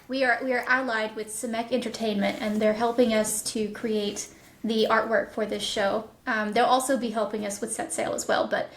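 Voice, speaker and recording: A woman with a fairly high voice talks casually as an interview guest. She sounds like she is in an echoey room, explaining things in a conversational way as a production's voice director. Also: flat delivery, normal speed.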